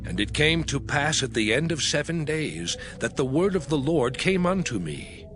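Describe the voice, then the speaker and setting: An actor playing an earnest, awed prophet recounting his vision in a solemn, measured, expressive dramatized scripture narration. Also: a little high. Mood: solemn wonder. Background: music.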